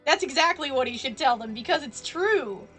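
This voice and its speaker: A woman's voice: high-pitched, lively intonation, normal speed, sharp tone. A woman game streamer reacting casually on a live stream, joking and self-deprecating. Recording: game music behind the voice.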